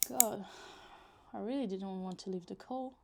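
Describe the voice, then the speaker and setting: A woman's voice: mid-range voice, moderate pace, creaky, heavy background noise, natural voice. A woman playing a possessive, lovesick yandere young woman in a roleplay ASMR, teasing, pouty and dramatic in casual, conversational speech. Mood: pining, obsessive.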